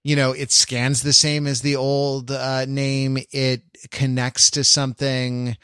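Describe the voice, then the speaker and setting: Male podcast co-host, mid-pitched, halting and wry in casual discussion, recorded very dry. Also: level tone, fairly bright tone, clean tone, dry recording. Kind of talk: casual pop-culture discussion.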